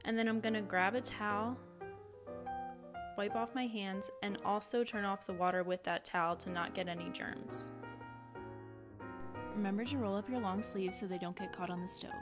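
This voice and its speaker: A woman's voice: medium pitch, steady tone, normal speed, pauses often, over music. A woman in her twenties presenting a cooking lesson for children, narrating step by step in simple instructions. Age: 20s.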